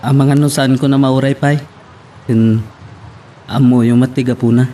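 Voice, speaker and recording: A man playing a polite, dutiful young driver with a soft manner in an Ilocano audio drama. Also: medium pitch, steady tone, moderate pace, a little hiss or hum, natural voice. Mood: concerned. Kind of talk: Ilocano radio-style drama acting.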